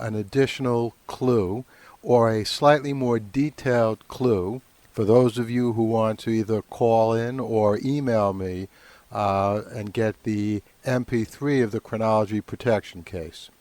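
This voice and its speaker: A man's voice: mid-range voice, a little mellow, no room sound. A middle-aged man talking solo as the host of a podcast.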